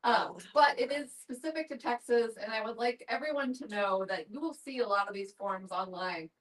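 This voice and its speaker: A woman's voice: fairly high pitch, moderate pace, a bit bright. A woman giving practical, informative explanations to a group, stressing the details. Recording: distant mic in group discussion.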